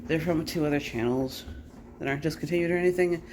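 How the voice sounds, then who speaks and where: A man's voice: on the high side, fast talker. Man rambling bluntly over background noise. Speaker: vlogger. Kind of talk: casual rambling vlog chat. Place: bedroom at home. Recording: noisy home recording with clicks.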